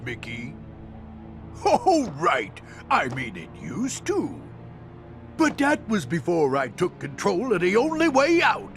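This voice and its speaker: A high, gravelly cartoon brute, swinging wildly in pitch. He brags and gloats in crude, folksy slang like a bumbling henchman in a game, with loud music behind him. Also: heavily acted. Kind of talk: animated game cutscene acting.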